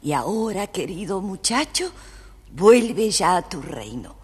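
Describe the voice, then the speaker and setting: An actress playing a very old, kindly and mysterious woman in a children's audio tale, with a husky, deliberate delivery. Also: medium pitch, big pitch swings, full character voice. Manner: slow, deliberate storytelling delivery. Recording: long hall reverb, faint music behind.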